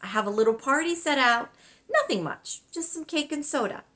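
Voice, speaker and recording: Woman playing a welcoming, bright-voiced folksy grandmother. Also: high-pitched, expressive, normal speed, pitched above the performer's own voice. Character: warm, welcoming grandmother, proud island nature guide.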